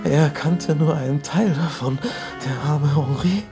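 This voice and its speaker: An actor playing a weak, guilt-ridden young son in a radio drama, faltering and pleading in anguish. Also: male voice, on the high side, a little slow. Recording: radio play with music underneath.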